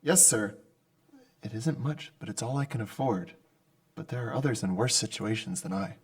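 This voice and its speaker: A man's voice: on the low side, normal speed. A man playing a kindly, dutiful clerk, deferential and apologetic, in old-time radio drama style. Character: meek, kindly, dutiful underpaid male clerk.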